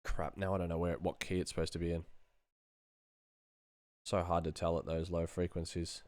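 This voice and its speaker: A man's voice: low-pitched, flat delivery, normal speed, dry recording. A man in his thirties thinking aloud as a sound designer at work, talking casually in short muttered fragments into a close mic. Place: small indoor room at home. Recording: loud music over the voice. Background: loud music.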